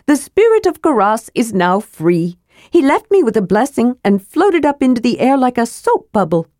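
A woman voicing a small, cheeky male kender who fibs excitedly, chirpy and bouncy. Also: a little high, moderate pace, dry recording, clean studio sound, voice pushed up. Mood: playful.

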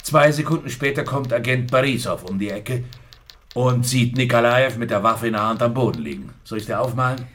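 An actor playing a matter-of-fact, dry Soviet secret police colleague in a radio crime drama. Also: male voice, mid-range voice, animated, a little fast, fairly bright tone, lightly acted. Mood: impatient briefing.

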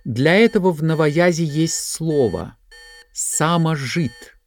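A man narrating an audio drama from a script in measured, deliberate phrases. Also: a little high, lively intonation.

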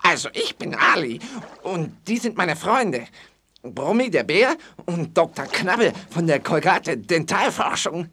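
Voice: medium pitch, very animated, measured pace, pushed and bright, a little hiss or hum. An actor playing an eager, harmless young animal who stammers nervously in a children's audio play. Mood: nervous, apologetic. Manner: nervous stammering, repeating words.

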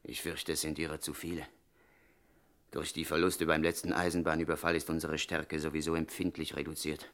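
Deep-voiced gangster henchman. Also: flat delivery, fairly quick, fairly bright tone. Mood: worried, earnest. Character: sober, deferential gangster henchman reporting to boss. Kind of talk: 1960s German radio comedy acting.

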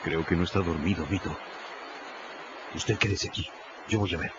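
A man's voice: sits low, steady tone, moderate pace, noisy background, lightly acted. A man playing a timid, superstitious runaway slave in a radio drama, humble and deferential, with a stammering, pleading manner. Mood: wary, hushed. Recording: muffled radio production with music behind.

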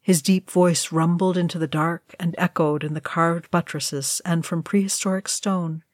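A woman's voice: on the low side, steady tone, moderate pace, dry recording, clean studio sound. A woman narrating a scripted fantasy story as a storyteller. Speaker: reader of a fan fiction story.

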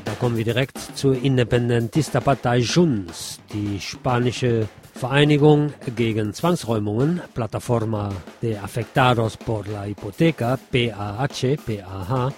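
A man's voice: medium pitch, normal speed, a little mellow, faint music behind. A man hosting a radio programme, reading press commentary and news aloud. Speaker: radio show host.

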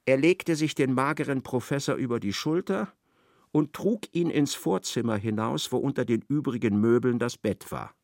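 A man narrating a literary radio play in a measured, scripted manner. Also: medium pitch, creaky, a little mellow, no room sound, studio quality, close to an everyday voice. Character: measured male narrator of a satirical novel.

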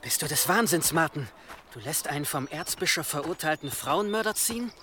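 A man's voice: fairly high pitch, expressive, fairly quick, bright, forceful tone, slight background noise. A man in a German radio drama playing an earnest, urgent servant at a medieval monastery. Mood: indignant.

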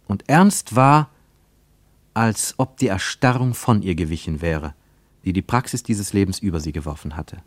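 A man reading scripted literary narration for radio, with measured, rhetorical and emphatic phrasing. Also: deep voice, lively intonation, dry recording.

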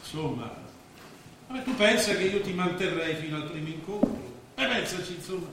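An actor playing a brash, smooth-talking journalist and boxing patron in a stage play, commanding and patronising. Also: male voice, sits high, expressive, a little fast. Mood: brisk, patronising. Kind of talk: theatrical stage dialogue. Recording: distant, very echoey, noisy stage recording.

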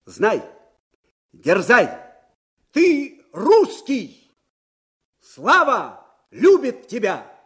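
An actor playing an old, disgraced field marshal, proud and wistful, in a folksy, musing manner for a radio drama. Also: male voice, very high voice, huge pitch swings, drawn-out words, long pauses, a bit bright, breathy edge, full character voice. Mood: fiery, proud. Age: older. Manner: folksy, musing, swinging from murmurs to ringing declamation. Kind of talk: Soviet radio drama acting.

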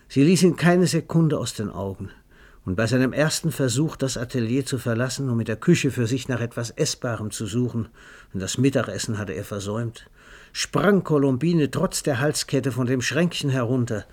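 Ein Mann erzählt in einem Hörspiel eine literarische Geschichte, ausdrucksvoll, genau und mit leiser Ironie. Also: male voice, mid-range voice, darkish tone, close to an everyday voice. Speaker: narrator. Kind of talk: scripted literary narration for a radio play.

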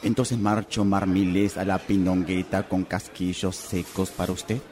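A man playing a formal, obliging, deadpan waiter in a comic radio play. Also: deep voice, a little slow, crowd noise. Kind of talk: comic radio theatre acting.

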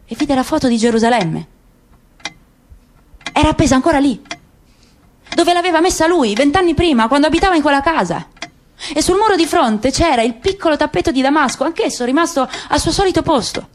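A woman narrating a literary story for radio, slow and lingering with dramatic pauses. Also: sits high, expressive, a little hiss or hum.

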